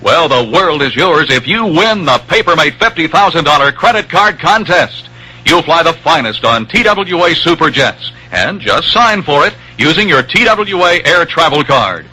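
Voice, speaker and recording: A man's voice: a little high, animated, moderate pace, pushed and bright, husky, low-quality recording. A man reading a scripted 1960s radio commercial with a punchy salesman's delivery. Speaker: commercial announcer. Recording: vintage 1960s radio recording.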